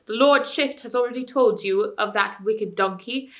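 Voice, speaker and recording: A woman's voice: on the high side, moderate pace, a bit bright. A woman voicing a stern male warrior captain who thunders orders at a crowd. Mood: commanding. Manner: thundering, commanding.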